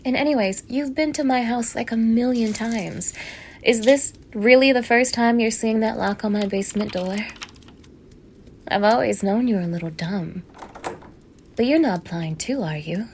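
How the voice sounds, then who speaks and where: A woman's voice: a little high, moderate pace, slight background noise, close to an everyday voice. A woman acting in an intimate audio roleplay as a teasing, fake-friendly young neighbour with obsessive menace under her casual, coaxing talk. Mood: condescending, quietly menacing. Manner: casual, coaxing, teasing, with small laughs and hushed asides.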